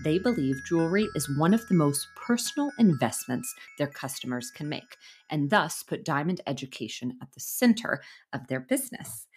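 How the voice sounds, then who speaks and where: A woman's voice: deep voice, expressive, normal speed, hardly any pauses, soft background music. A young adult woman hosting a podcast interview, reading an introduction and reacting with upbeat, effusive enthusiasm. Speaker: podcast host.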